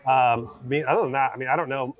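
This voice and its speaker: A man's voice: mid-range voice, fast talker, dull audio. An American man chatting casually as a podcast guest, informal, often peppering his talk with like and right. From born in Egypt, grew up in America. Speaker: podcast guest, former mortgage broker. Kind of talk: casual chat.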